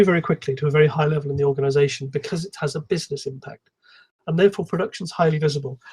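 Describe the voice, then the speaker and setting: A man giving an explanatory technical conference talk in a calm, measured manner, with a slightly husky voice. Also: on the high side, poor audio. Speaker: conference speaker, software engineer.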